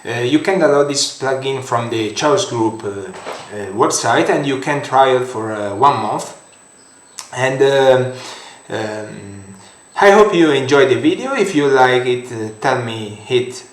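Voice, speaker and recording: Italian-accented young man, non-native English, slow and halting tutorial delivery, echoey room sound. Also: mid-range voice, animated. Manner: halting, many fillers and restarts. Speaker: software tutorial creator. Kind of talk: screen-recorded software tutorial.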